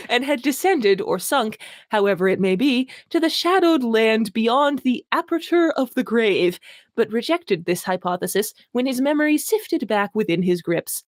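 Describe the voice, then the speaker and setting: A woman's voice: on the high side, lively intonation, unhurried, dry recording. A woman hosting an amused comic reading aloud, giggly and mock-dramatic, adding her own commentary. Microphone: online voice call. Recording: dry voice chat audio.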